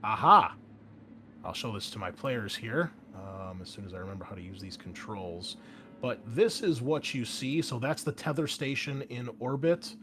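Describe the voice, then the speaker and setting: A man's voice: mid-range voice, expressive, normal speed, fairly bright tone, slight background noise. A man speaking as game master, explaining a tabletop roleplaying scenario in a conversational, improvised way. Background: background music.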